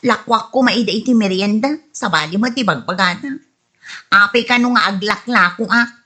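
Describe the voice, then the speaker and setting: A woman playing a touchy, reformed snack vendor in an Ilocano-accented radio comedy drama, with a husky voice and plaintive, indignant manner. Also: medium pitch, moderate pace, pushed and bright. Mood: aggrieved. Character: touchy reformed woman peddling afternoon snacks, easily offended.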